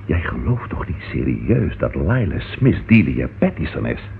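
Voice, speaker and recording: An actor playing a sceptical, commanding police inspector in a radio play, with a wry, authoritative manner. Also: male voice, extremely low pitch, sing-song, measured pace, vocal fry, exaggerated voice. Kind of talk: old radio play acting. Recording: noisy, phone-like old radio recording.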